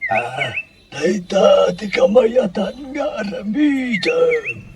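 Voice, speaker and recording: A man's voice: sits high, sing-song, very slow, noisy background, full character voice. A man playing an advice-giving friend in a comedy radio drama, drawling theatrically with an Ilocano accent. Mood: smug, amused. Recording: distant from the microphone.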